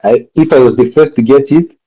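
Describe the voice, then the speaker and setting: A man's voice: mid-range voice, brisk, warm tone, husky, lo-fi sound. A man from DR Congo with a French accent, teaching a live coding workshop and often stopping to correct himself mid-sentence. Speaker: workshop instructor.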